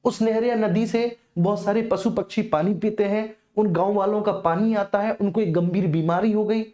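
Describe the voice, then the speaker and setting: Mellow-voiced woman teaching an exam class. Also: medium pitch, steady tone, normal speed. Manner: repeats key phrases for emphasis; checks in often with students. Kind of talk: informal exam-prep lecture.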